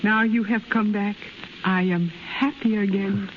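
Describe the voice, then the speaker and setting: An actress playing a gentle, devoted young Japanese woman in love, speaking in formal, simple poetic phrases in 1930s radio drama style. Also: mid-range voice, steady tone, a little slow, close to an everyday voice. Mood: tender devotion. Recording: muffled, hissy 1930s radio broadcast recording.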